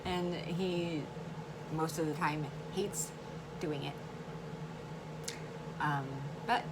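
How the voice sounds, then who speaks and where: A woman with a low, slightly hoarse voice chats earnestly straight to her viewers, doubling back on her words and breaking into soft laughs. Her talk is casual and plain. A faint hiss sits under the recording. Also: fairly even intonation, extremely slow. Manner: chatty, repeats words, laughs often. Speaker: vlogger. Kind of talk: casual educational vlog.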